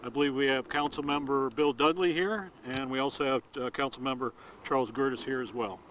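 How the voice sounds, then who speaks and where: A man acting as a police spokesman and emcee, introducing guests haltingly and stumbling over names. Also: mid-range voice, a bit bright, slight background noise, rough recording. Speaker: police media relations officer, emcee. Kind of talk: informal introductions at press conference.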